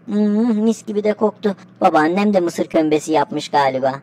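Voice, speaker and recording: Woman as an eager, mellow-voiced cartoon schoolchild. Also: lowish voice, normal speed, lightly acted. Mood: eager, thoughtful. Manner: eager, polite. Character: eager schoolchild grandchild answering grandfather's quiz. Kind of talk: educational cartoon dialogue.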